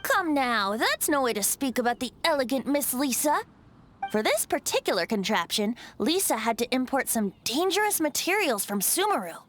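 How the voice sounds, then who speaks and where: A woman's voice: sits high, very animated, moderate pace, fairly bright tone. A woman voicing a playful, carefree young male bard in game dialogue, teasing and coy. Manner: lighthearted and teasing, laughs easily, coy with answers. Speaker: bard character. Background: game music.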